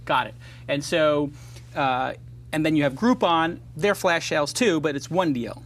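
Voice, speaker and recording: A man hosting a casual startup interview show, talking fast and joking, with opinionated asides and sponsor reads. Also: a little high, expressive, fairly bright tone. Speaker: host.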